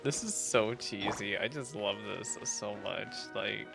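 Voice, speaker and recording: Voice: medium pitch, unhurried, creaky. Amused game streamer, casual reaction commentary. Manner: amused, giggly. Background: faint game music.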